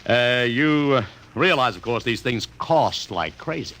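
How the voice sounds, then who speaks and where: Lively, wisecracking ad agency boss. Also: male voice, medium pitch, a little slow. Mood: breezy, confident. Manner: breezy, slangy, wry. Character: brisk, wisecracking, money-minded male advertising agency boss. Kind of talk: old-time radio drama acting. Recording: noisy old radio recording.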